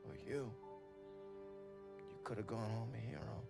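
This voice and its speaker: Tired young american army buddy, creaky voice, halting n heartfelt, war game music under it. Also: male voice, lowish voice, level tone. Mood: sincere, reflective. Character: weary, loyal young soldier from Chicago, freed captive. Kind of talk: cinematic war game acting. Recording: cinematic music behind the voice.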